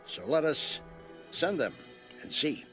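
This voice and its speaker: A man's voice: fairly high pitch, very animated, takes it slowly, a bit bright, soft background music, full character voice. A male actor playing a hesitant, deferential servant to a king in an audio Bible drama. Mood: cautious, pleading. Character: cautious, deferential servant advising an ancient king.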